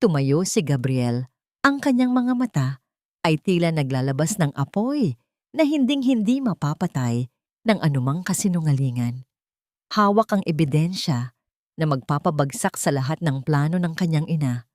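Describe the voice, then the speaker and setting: A woman with a Filipino accent narrating a dramatic scripted story in a solemn, emotive, deliberate way. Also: low voice, lively intonation, a little mellow, no echo, clean studio sound.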